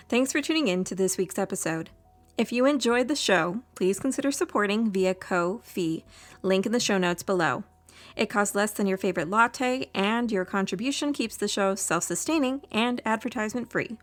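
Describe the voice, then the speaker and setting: Female podcast writer-host, average pitch, scripted outro read.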